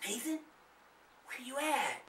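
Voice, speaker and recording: A man's voice: extremely high pitch, sing-song, takes it slowly, echoey room, far from the mic, a little hiss or hum, pitched above the performer's own voice, heavily acted. A man voicing a frightened, anxious young girl in a spooky children's ghost story. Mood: hushed, scared. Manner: hushed, shuddering, anxious.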